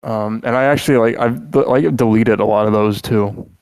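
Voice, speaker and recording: A man's voice: lowish voice, normal speed, a little mellow, clean tone, dry recording, low-quality recording. A man co-hosting a podcast in casual chat, rambling and joking with lots of filler words and restarts. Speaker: podcast co-host.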